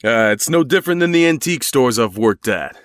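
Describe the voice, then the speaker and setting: A man's voice: medium pitch, lively intonation, fairly quick, fairly bright tone, no echo, clean studio sound, close to an everyday voice. A man playing an easygoing new shop employee in an audio drama, talking in a casual, conversational way. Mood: easygoing, reassuring.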